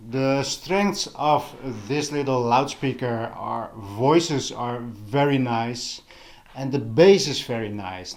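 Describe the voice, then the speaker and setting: A man with a non-native English accent chatting casually in a vlog as an audio hobbyist, halting and pausing mid-thought in an unhurried way. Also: medium pitch. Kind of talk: casual vlog product chat.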